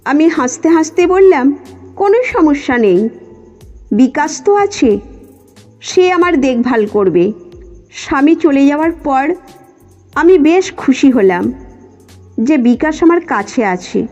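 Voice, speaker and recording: A woman's voice: high voice, animated, takes it slowly, long pauses, darkish tone. A woman reading a scripted first-person story as its narrator. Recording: muffled lo-fi audio with music. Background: background music.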